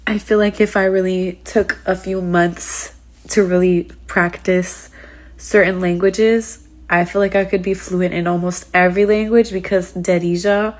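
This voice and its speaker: A woman's voice: on the low side, steady tone, unhurried, slight background noise. A congested woman from the United States chatting casually on a live stream, rambling with lots of "like" and "um". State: congested, sick with sinus trouble. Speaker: streamer answering chat.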